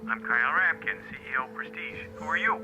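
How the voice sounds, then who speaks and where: An actor playing a brusque, irritable American chief executive, curt and demanding, in an audio drama. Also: male voice, mid-range voice, animated, brisk, muffled recording, over music, natural voice. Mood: curt, suspicious.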